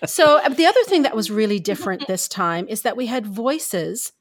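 A woman's voice: a little high, very animated, normal speed. A woman from Canada co-hosting a podcast, talking in a casual, chatty way and laughing easily. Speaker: podcast co-host, story editor.

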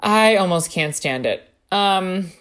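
Young woman, slightly low voice, playful, laughs a lot, reading notes aloud. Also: animated, slow pace, fairly bright tone. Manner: playful, laughs often, jokes about her notes. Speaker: podcast co-host. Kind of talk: casual chat reading research notes.

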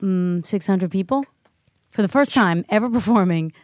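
A female host talks conversationally as she interviews a guest. Her pitch is average for a woman, and the recording has almost no room sound. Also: expressive, normal speed, a little mellow, dry recording, studio quality.

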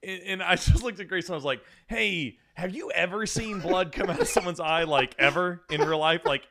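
A man in his thirties hosting a casual comedy podcast, telling things in an animated, profane way with mocking quotes. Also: sits high, brisk. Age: 30s. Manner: animated, profane, mock-quoting storytelling. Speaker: podcast host.

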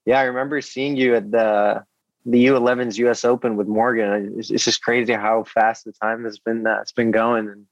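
A man's voice: medium pitch, level tone, normal speed, no room sound. A man in his twenties from Egypt, a podcast guest answering questions in a friendly way, often laughing and repeating words. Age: 20s. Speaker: guest, professional athlete. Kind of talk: casual interview answers. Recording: dry, dull remote audio.

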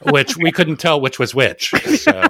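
A man's voice: fairly high pitch, big pitch swings, a little slow, fairly bright tone, dry recording. A man co-hosting, chiming in with playful, joking remarks.

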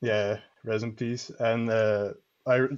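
A man's voice: fairly low pitch, flat delivery, slow pace. Dull lo-fi call from a Belgian man. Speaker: guest caller on a livestream. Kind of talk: casual chat. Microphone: online call.

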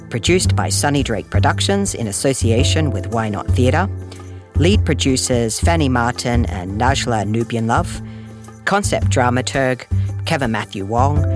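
A podcast host reading a scripted outro and credits in a chatty, informal way. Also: medium pitch. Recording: music under the voice. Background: music.

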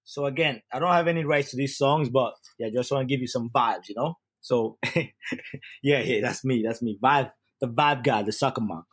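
A man's voice: mid-range voice, moderate pace, breathy edge, soft background music. A man from Uganda, a youth sports coach, giving an earnest casual podcast monologue and often repeating and restarting his phrases.

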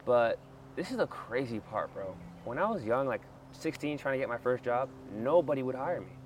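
A man's voice: medium pitch, lively intonation, normal speed, a crowd in the background. A laid-back man in his thirties from Canada, a French native speaker, talking casually as a podcast guest about his business. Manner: laid-back, says "bro" a lot. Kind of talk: casual interview chat.

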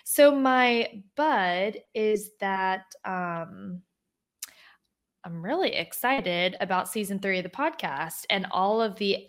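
A woman's voice: medium pitch, fairly bright tone. Upbeat woman co-hosting a podcast. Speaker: podcast co-host. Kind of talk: casual chat.

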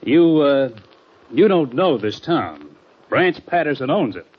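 An actor playing a skeptical townsman in an old radio drama, with a husky voice. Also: a little high, sing-song, takes it slowly, exaggerated voice. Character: doubtful small-town man asked to run for sheriff. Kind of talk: 1940s radio acting. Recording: dull old radio recording.

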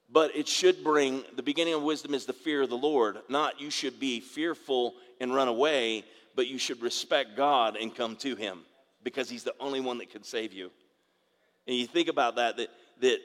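A man preaches to his congregation, his voice a little raspy from the dry air. He talks in a halting way, doubling up words and asking for an amen. His voice carries a long reverb, as if recorded in a large church hall. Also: medium pitch, fairly bright tone. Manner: halting, repeats words, asks congregation for amens. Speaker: pastor. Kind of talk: sermon. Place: church sanctuary.

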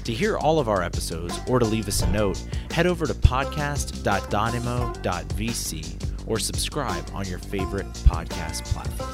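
A man reading a scripted show intro in a smooth, even way. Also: lowish voice, normal speed. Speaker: show announcer. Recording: read over loud music.

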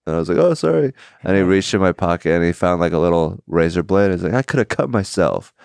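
A young man talking in casual chat, deadpan and halting, with pauses and fillers. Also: sits low, vocal fry, clear, resonant tone, no room sound, studio quality. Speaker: comedian guest.

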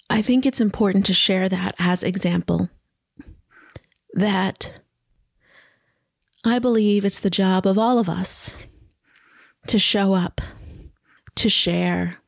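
A woman hosting her own podcast in a casual, reflective monologue, speaking softly and gently, her voice a little scratchy from allergies. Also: medium pitch, dry recording, clean studio sound. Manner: slow, soft and gentle, with drawn-out pauses. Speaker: podcast host. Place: home by a river, indoors, wind outside.

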